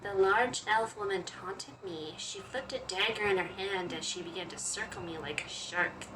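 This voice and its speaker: A woman's voice: fairly low pitch, normal speed. A woman hosting a gaming video, reading visual novel narration aloud and adding casual, playful asides. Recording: slightly distant mic, faint noise.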